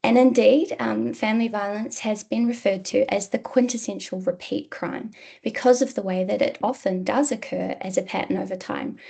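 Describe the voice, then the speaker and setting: A woman with a New Zealand accent, a university lecturer giving an academic presentation in a measured way, with frequent "um" fillers. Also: mid-range voice, creaky, dark tone, breathy edge.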